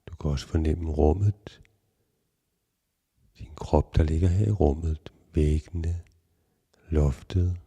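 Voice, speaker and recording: A man guiding a relaxation meditation in a calm, measured, instructional way with long pauses. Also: extremely low pitch, husky. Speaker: yoga nidra guide.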